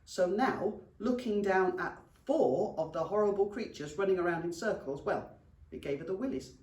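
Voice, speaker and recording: A woman's voice: medium pitch, moderate pace. A British woman reading a children's story expressively to young pupils, like a teacher at storytime. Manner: animated, addresses young listeners fondly. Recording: roomy echo, distant microphone.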